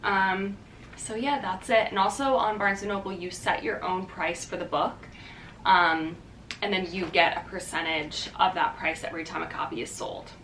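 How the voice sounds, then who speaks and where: A woman's voice: on the low side, fairly even intonation, moderate pace, fairly bright tone, far from the mic, a little hiss or hum. A young woman vlogging casually to the camera, chatty and upbeat, with lots of "um" and "like". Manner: chatty and upbeat, many fillers like "um" and "like". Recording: echoey room, voice a bit off-mic.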